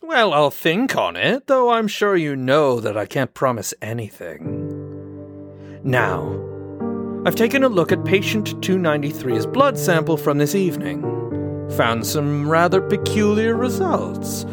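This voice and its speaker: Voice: medium pitch, huge pitch swings, a little slow. An actor playing a smug, manipulative doctor in an audio drama, with condescending, mock-polite, theatrical phrasing. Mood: smug, dismissive. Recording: loud music under the voice. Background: music.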